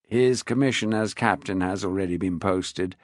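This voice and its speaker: A man voicing a tired, heavy-drinking courtier who speaks slowly and deferentially. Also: dry recording, studio quality, close to an everyday voice. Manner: slow, low, deferential. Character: weary, heavy-drinking male courtier, measured and unhurried.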